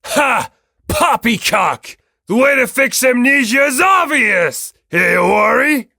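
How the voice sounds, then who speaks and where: A performer voicing a huge, muscular, bombastic young athletic coach in an audiobook, with booming, emphatic delivery. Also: expressive, slow, vocal fry, pushed and bright, no room sound, clean studio sound, full character voice. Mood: loud, boisterous.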